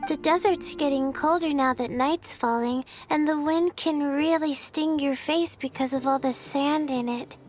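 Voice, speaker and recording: High-voiced young female student astrologer. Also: a little slow, hardly any pauses, a little mellow, soft background music. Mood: sleepy. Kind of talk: video game voice acting.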